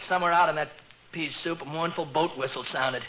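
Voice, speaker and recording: High-voiced, melodramatic teenage would-be detective. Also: male voice, fairly even intonation, brisk, sharp tone. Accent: American. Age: young adult. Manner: melodramatic, cocky, earnest. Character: eager, self-important teenage son playing private eye. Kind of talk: 1940s radio comedy acting. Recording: muffled, noisy 1940s radio recording.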